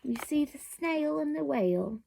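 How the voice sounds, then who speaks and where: Animated woman reading a children's story. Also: sits high, vocal fry, a little hiss or hum, poor audio. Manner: deliberate, dramatic emphasis, addressing young listeners. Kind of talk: expressive picture-book read-aloud.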